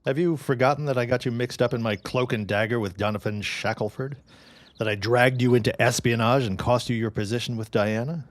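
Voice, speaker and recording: A young amateur spy in a period radio drama, acted by a man. He is measured and theatrical, with a mid-pitched voice and a close, dry sound. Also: steady tone, dry recording, lightly acted. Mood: apologetic, wry. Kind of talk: period radio-style audio drama acting.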